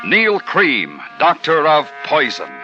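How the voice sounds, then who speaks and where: A man introduces a vintage radio drama in formal, resonant tones, his pitch rising and falling. Loud music plays under him on a muffled old recording. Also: wildly swooping pitch, takes it slowly. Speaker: announcer. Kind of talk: 1940s radio announcing.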